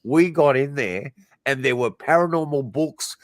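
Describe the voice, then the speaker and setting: A man hosting a casual talk show, telling stories in a lively way. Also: mid-range voice, a little slow, no echo. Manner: animated storytelling. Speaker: host. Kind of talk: casual chat.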